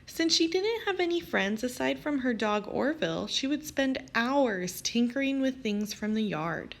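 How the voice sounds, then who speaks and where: A woman reading a children's picture book aloud as an expressive storyteller. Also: on the high side, unhurried. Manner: expressive, animated storytelling with acted character lines. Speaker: storybook reader.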